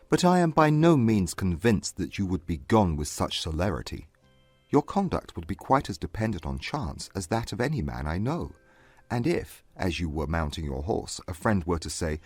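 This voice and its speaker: An actor playing a proud, reserved young gentleman in a dramatised period novel, speaking in formal, precise arguments. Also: lowish voice, dry recording. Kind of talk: dramatised classic novel audiobook.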